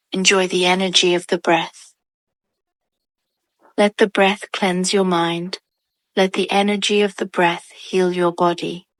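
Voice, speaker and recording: Very slow, even-toned woman guiding meditation. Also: mid-range voice, dry recording. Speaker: meditation guide. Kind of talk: guided meditation narration.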